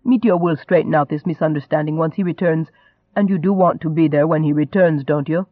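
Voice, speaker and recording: A man's voice: fairly high pitch, steady tone, a little fast, no room sound, phone-quality sound, close to an everyday voice. A man voicing a charming young nobleman in an audiobook, a teasing and cajoling rogue who stays unruffled. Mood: soothing.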